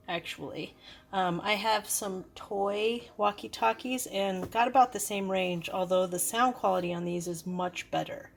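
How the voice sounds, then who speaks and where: A woman giving a casual, hands-on product review, explaining things in a hesitant, unscripted way. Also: mid-range voice. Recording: clearly noisy background.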